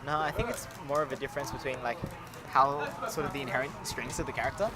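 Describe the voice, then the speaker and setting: A man co-commentating a fighting game tournament, calmly explaining character strengths with lots of "sort of". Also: medium pitch. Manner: measured, explains game mechanics, many "sort of". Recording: loud music playing behind.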